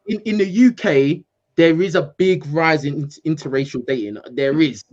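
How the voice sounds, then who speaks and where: A man from the UK, hosting a call-in show in a casual, animated debate, repeating himself for emphasis. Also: on the high side, moderate pace, lo-fi sound. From United Kingdom. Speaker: host.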